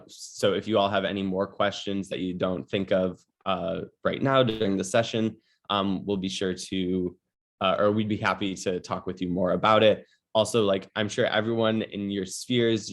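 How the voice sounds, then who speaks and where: A man with a low voice presents advice over a video call. He talks casually and haltingly, with frequent ums and likes between his points. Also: a bit bright. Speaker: webinar moderator.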